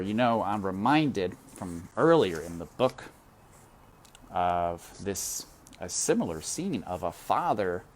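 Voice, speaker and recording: A man reading a children's novel aloud, dramatic and deliberate, turning to talk directly to his listeners. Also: on the low side, slight background noise. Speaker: reader.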